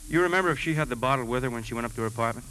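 An actor playing a polite but persistent police captain in 1950s radio drama style, matter-of-fact and brisk. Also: male voice, medium pitch, sharp tone, natural voice. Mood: brisk, courteous. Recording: noisy 1950s radio broadcast recording.

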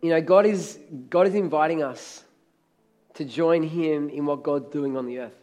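A middle-aged pastor with a hoarse, crackly voice, preaching a sermon with energetic, emphatic repetition. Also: male voice, fairly high pitch, moderate pace.

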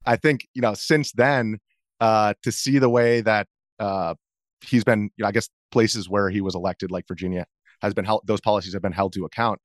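A man in his thirties with a fairly low voice talks as a guest in a casual interview. He feels his way through answers in loose, wandering sentences, recorded close and dry. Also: fairly even intonation, normal speed, no echo. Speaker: interview guest, video journalist.

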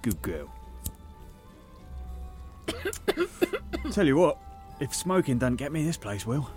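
A man's voice: on the high side, huge pitch swings, slow pace, a little mellow, faint music behind, exaggerated voice. An actor playing a breezy young male park worker with a British accent, in naturalistic audio drama style. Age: young adult. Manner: casual, chatty.

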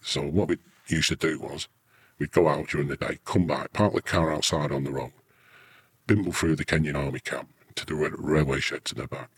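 A man's voice: extremely low pitch, steady tone, brisk, slightly rough. A British man, middle-aged or older, an army veteran telling rambling, earthy anecdotes casually in an interview. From United Kingdom.

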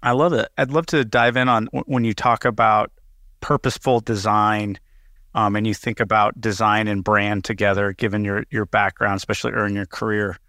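A man hosting a business podcast in a chatty, enthusiastic way, often stumbling over and repeating his words. His voice is bright and forward. The sound is dry and close. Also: on the low side, level tone, fairly quick, no room sound. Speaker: podcast host. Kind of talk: casual interview hosting.

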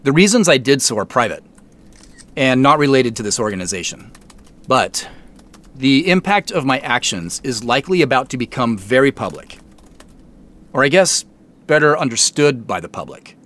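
Earnest, expressive male executive. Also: mid-range voice. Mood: contrite, resolute. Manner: measured, formal speechmaking with deliberate pauses. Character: earnest, contrite male company founder and CEO addressing staff. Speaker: company founder and CEO. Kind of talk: naturalistic audio drama acting.

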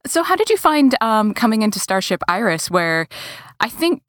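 A woman's voice: a little high, sing-song, normal speed, a bit bright, no room sound, studio quality. A woman chatting casually as an interviewer.

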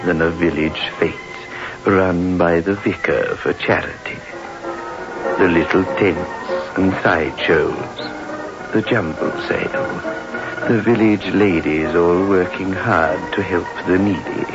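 A sinister horror host, speaking extremely slowly in a low voice. He is mock-courteous and drily macabre, in the manner of 1960s radio, with loud music behind. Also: steady tone. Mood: macabre, wry. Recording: muffled old radio recording, loud music.

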